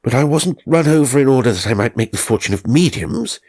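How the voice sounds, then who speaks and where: A man voicing a good-natured but irritable gentleman spirit, proper and emphatic, in a ghost story. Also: mid-range voice, animated, fairly quick, voice pushed up. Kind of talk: dramatised audiobook ghost story.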